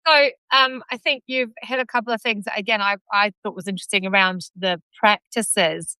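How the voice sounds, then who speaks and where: A woman's voice: medium pitch, steady tone, normal speed, pushed and bright, clean tone, dry recording, poor audio. A woman with a New Zealand accent hosting a live online discussion, speaking thoughtfully with hedges and trailing phrases. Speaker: host of live video.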